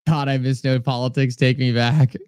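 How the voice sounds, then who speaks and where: A man with a New England accent runs a live stream in an easygoing, conversational way, answering comments and thanking viewers one by one. His voice is smooth and resonant, and it is recorded close and dry with no room sound. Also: medium pitch, little pitch movement, moderate pace, a little mellow, no room sound. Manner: chatty, reads viewer comments aloud and thanks them by name. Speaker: livestream host. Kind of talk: casual livestream talk answering chat.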